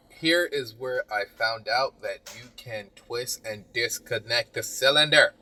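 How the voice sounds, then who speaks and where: A lowish male voice, a plumber narrating his own repair footage in a loose, joking way. He rambles, teases the viewer and wanders off topic. The voice sits a little away from the mic, with a faint hiss of noise behind it. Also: bright, forceful tone, recorded from a distance. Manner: rambling, teasing, talks to the viewer. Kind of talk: joking DIY voice-over. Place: small bathroom.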